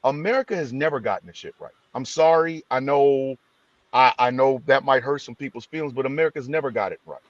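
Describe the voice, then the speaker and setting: A man's voice: medium pitch, a little fast, no room sound. A man co-hosting a podcast, making his point emphatically in a building cadence. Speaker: podcast co-host. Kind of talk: casual podcast discussion. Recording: dry, slightly dull sound.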